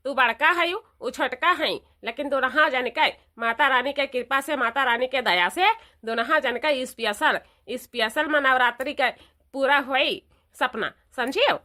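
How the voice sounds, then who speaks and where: A woman's voice: sits high, a little slow, sharp tone. A woman voicing a bossy but kindly old village mother-in-law in a cartoon, with an eastern Uttar Pradesh accent and a repetitive, instructing manner. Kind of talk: cartoon dialogue.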